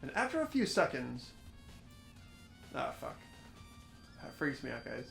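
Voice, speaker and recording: A man's voice: medium pitch, huge pitch swings, a little slow, vocal fry, a bit bright, faint music behind. A man chatting casually as a player in a group game playthrough. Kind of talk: casual banter.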